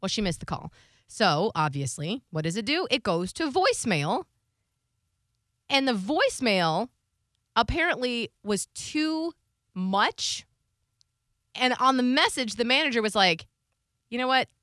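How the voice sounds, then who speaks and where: A woman radio co-host with a high, bright voice that swings freely as she talks. Her delivery is conversational morning-show banter, captured dry and close. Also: unhurried, long pauses, dry recording. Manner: animated, conversational.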